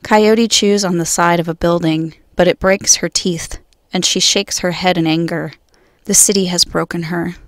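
A woman poet reading her own poems in a measured, solemn way. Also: fairly low pitch, steady tone, no echo, studio quality. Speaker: guest poet. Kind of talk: poetry reading.

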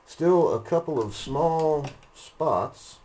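A man giving a casual, unhurried hands-on demonstration, explaining each step as he works. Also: fairly high pitch, animated, warm tone. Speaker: hobbyist demonstrator, host. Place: indoor hobby room. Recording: noisy room, machine noise.

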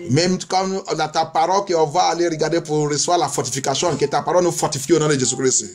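A man's voice: on the high side, fairly even intonation, fast. A male pastor leading fervent prayer and preaching, insistently repeating his phrases like a chant. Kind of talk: fervent bilingual prayer and sermon.

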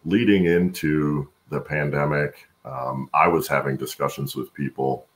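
Super deep gravelly guy, middle aged, halting explainer on a video call interview. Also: steady tone. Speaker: guest, supply chain expert. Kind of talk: interview answers, explaining work practice. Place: room at home, on a video call.

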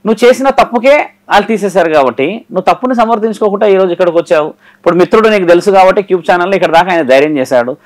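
A man speaking as a guest guru, giving direct, didactic and admonishing advice in an interview. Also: high-pitched.

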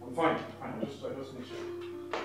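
A man with a Nigerian accent acting an easygoing, excitable friend in a screen drama, often repeating words in a stammer. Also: medium pitch, measured pace, music in the background, close to an everyday voice. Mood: distracted. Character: sympathetic, excitable male friend, easygoing and generous.

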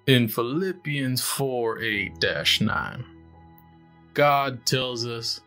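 Slow, solemn actor as a funeral pastor. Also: male voice, mid-range voice, lively intonation, sharp tone, full character voice. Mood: reverent, consoling. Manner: measured, reverent, deliberate. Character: solemn male pastor giving a funeral eulogy. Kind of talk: slow funeral sermon in an audio drama. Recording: music behind the voice.

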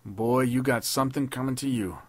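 A man's voice: mid-range voice, fairly quick, no echo, voice pushed up, lightly acted. A man playing a friendly veteran private who talks in folksy soldier slang. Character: easygoing veteran male private.

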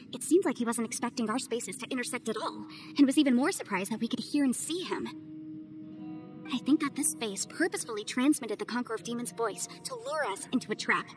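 Game voice: woman playing a bright-voiced, analytical young adviser, high pitch, music underneath. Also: lightly acted. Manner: analytical, earnest, persuasive. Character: sharp, confident young woman legal adviser.

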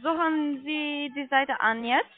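A woman who is not a native speaker, giving a halting telephone sales pitch as a call centre agent, often checking with questions. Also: no room sound. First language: not German. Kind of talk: persuasive phone sales pitch. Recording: tinny narrow phone line.

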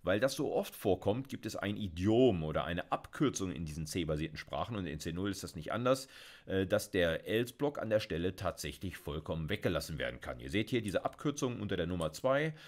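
A man's voice: low voice, sing-song, fairly quick. A middle-aged man giving a recorded university lecture on programming, talking through slides in a chatty way with many fillers. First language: German. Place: small dark room at a desk.

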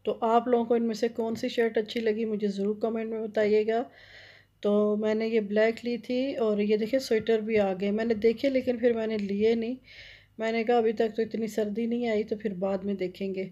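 Middle-aged woman vlogger, chatty and gushing, flat mellow voice, dull lo-fi phone audio. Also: a little high, moderate pace. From Pakistan. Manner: chatty, gushing over items, many fillers. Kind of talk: casual shopping vlog narration. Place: large indoor clothing store. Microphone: handheld phone camera.

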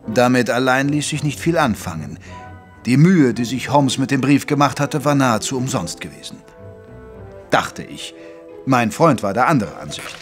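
Male actor as detective's gentlemanly chronicler, mid-pitched, articulate audio drama narration. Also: big pitch swings, soft background music, lightly acted. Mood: measured, recounting. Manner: measured, articulate storytelling.

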